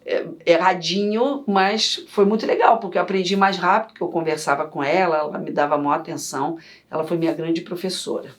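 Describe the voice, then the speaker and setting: A middle-aged woman from Yugoslavia, chatting as an interview guest and telling stories in an animated way. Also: lowish voice, a little fast. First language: Serbian. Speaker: guest, vocal coach. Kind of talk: casual interview chat.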